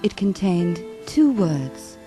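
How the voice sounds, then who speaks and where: A woman narrating a ghostly radio drama in a solemn, measured, dramatic storytelling style. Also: on the low side, very animated. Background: music.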